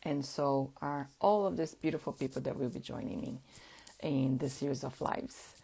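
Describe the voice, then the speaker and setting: A woman whose first language is Portuguese, hosting a casual live-stream chat at an unhurried pace, with frequent fillers. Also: a bass voice, vocal fry, dark tone, breathy edge. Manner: unhurried, chatty, many fillers. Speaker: host.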